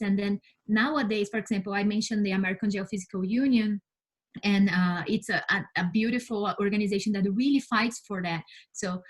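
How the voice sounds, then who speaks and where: A woman from Brazil, a native Portuguese speaker with a Brazilian accent, answering interview questions as a scientist in an earnest, halting way. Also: mid-range voice. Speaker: interview guest, space scientist and mentor.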